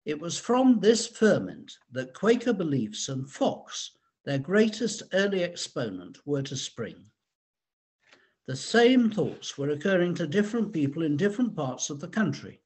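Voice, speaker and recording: A man reading a scripted history talk in a measured, explanatory way. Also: on the high side, big pitch swings, darkish tone, husky. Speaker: researcher and reader of a local history talk.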